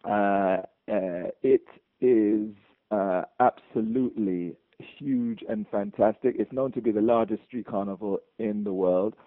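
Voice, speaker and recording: A man with a London accent, talking as an interview guest in a fairly low voice. He halts and restarts often, repeating words as he goes. The sound is dry and thin, like a phone line. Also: dry recording. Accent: London English. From England. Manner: halting, many repeated words and restarts, chuckling. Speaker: guest, bar owner. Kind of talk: casual interview.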